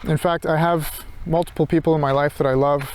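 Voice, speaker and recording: A man's voice: a little high, steady tone, fairly quick, darkish tone, a little hiss or hum. A man thinking aloud in an unscripted reflective monologue, hesitant and searching, often circling back over his phrases. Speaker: philosophy vlogger.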